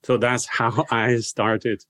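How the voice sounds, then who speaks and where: A man in his sixties from China answers interview questions in a measured, explanatory way. His voice sits at an ordinary middle pitch. The recording is dry and close, with no room sound. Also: little pitch movement, fairly bright tone, no echo. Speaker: guest scholar of religion.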